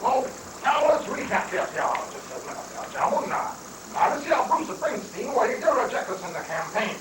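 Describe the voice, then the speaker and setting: A folksy, earnest political strategist, acted by a man in a stage satire. He hems his way through homespun explanations in a high voice. The sound is distant and echoey. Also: big pitch swings, brisk. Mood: explaining. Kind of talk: amateur dramatic reading of a satirical play. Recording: noisy, roomy live reading from far off.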